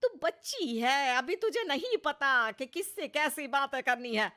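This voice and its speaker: A woman's voice: high voice, lively intonation, measured pace, bright, forceful tone, voice pushed up, heavily acted. A woman voicing a scolding, sarcastic mother-in-law in her sixties for an acted moral story. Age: 60s. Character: scolding, nagging sixty-year-old Indian mother-in-law.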